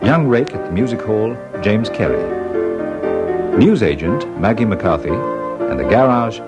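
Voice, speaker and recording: Low-voiced male announcer over loud music. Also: sing-song, unhurried. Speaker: closing announcer. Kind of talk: scripted cast credits.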